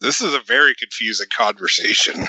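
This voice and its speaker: A man's voice: medium pitch, fairly quick, sharp tone, no echo, poor audio. A man co-hosting a gaming podcast in casual banter, with dry, sarcastic jokes. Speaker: podcast co-host.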